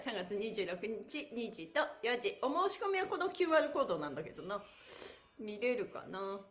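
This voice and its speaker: A middle-aged woman, an effusive, playful and encouraging stretch instructor chatting to her livestream viewers. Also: fairly high pitch. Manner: effusive, encouraging, playful, frequent laughing exclamations. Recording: echoey bare room.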